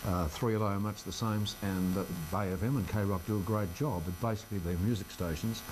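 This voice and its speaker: A man's voice: lowish voice, fairly even intonation, a little fast, background noise. An older Australian man, a local radio presenter, chatting informally in an interview with a wry, self-deprecating manner. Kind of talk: casual interview chat. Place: radio studio.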